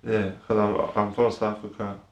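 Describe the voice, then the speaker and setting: A young man who was born in Ireland and raised in the UK, a podcast guest talking in a soft, hesitant way with lots of fillers. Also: lowish voice, little pitch movement, moderate pace, roomy sound. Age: young adult. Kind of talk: casual conversation.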